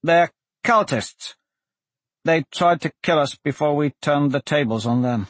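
Man acting a brash young prisoner, high-pitched. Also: measured pace, dry recording. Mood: defensive. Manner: cocky, protesting, blunt. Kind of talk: fantasy audiobook character dialogue.

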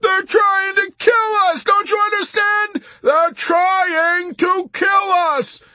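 A man's voice: extremely high pitch, flat delivery, slow pace, bright, forceful tone, dry recording, voice pushed up, exaggerated voice. A man voicing a hysterical, terrified airman in an audiobook, shrieking and beseeching in frantic frustration. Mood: screaming panic. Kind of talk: audiobook character acting.

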